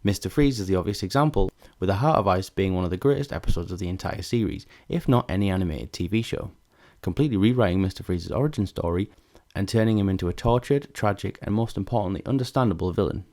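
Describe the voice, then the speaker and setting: A man's voice: sits low, quick pace, darkish tone. A man reading scripted narration as the narrator of a video essay.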